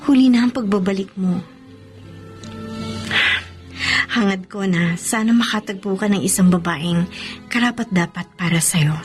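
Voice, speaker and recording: An actress playing a gentle, resolute bride-to-be in a radio drama, speaking in a composed, sincere and measured way. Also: female voice, mid-range voice, natural voice. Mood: gently firm. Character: gentle, resolute bride-to-be forgiving her former love. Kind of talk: Filipino radio drama acting. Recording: faint music behind the voice.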